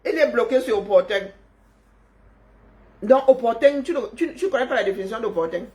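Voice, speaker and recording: A woman's voice: on the high side, lively intonation, fairly quick, a little mellow, highs cut off. A woman giving mocking, scolding commentary straight into her phone or webcam on a livestream. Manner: sarcastic, scolding, teasing. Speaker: online commentator. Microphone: webcam or phone on a screen.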